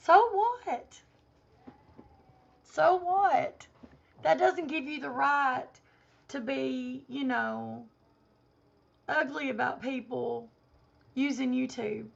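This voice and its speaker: A woman vlogger giving an indignant opinion rant to camera, hoarse because she has a cold and is losing her voice. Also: sits high, extremely slow, pauses often, highs cut off. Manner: indignant, emphatic.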